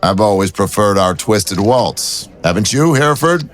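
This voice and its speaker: Casting: male actor as wry audio-drama detective, low register, cool teasing banter. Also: lively intonation, a little slow. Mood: wry, musing.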